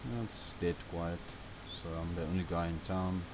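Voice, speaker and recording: A deep-voiced man with a South African accent talks through a driving game in a loose, chatty way, with fillers and the odd grumble. An air-conditioner hum runs steadily underneath. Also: normal speed. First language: Afrikaans. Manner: relaxed, rambling and chatty, with fillers and light grumbling. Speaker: gaming channel host. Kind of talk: casual gameplay tutorial commentary.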